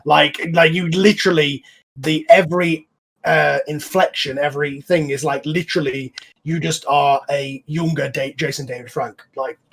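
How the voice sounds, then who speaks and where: A man with a fairly high voice hosts a relaxed podcast conversation on an online call. He talks in a loose, rambling way, like a creator chatting with colleagues. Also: normal speed, creaky. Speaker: host and series creator. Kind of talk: casual podcast chat. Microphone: online group voice call.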